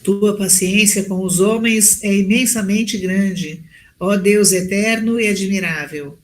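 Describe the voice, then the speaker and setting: Man, high voice, slow earnest reader. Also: slight background noise. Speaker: prayer reader. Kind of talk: reading a prayer aloud.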